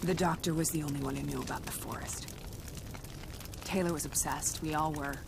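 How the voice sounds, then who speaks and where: A woman's voice: low voice, fairly even intonation, fairly quick, gravelly, background noise. An actress playing a haunted woman soldier, grave and reflective, in a cinematic video game. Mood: grimly confessional. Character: haunted female soldier, obsessed and grimly confessional. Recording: music behind the voice. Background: cinematic music.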